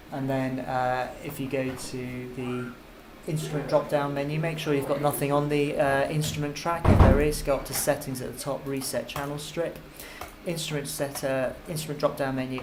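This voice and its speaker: A man giving an informal, step-by-step software tutorial as a music technology teacher, explaining as he goes. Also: fairly high pitch. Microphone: computer microphone. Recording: clearly noisy computer microphone recording.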